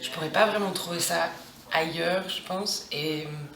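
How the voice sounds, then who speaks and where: A woman's voice: on the low side, measured pace, gravelly, fairly bright tone. A French woman in her thirties answering interview questions casually, with many restarts. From France. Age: 30s. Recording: roomy, distant, crowd behind.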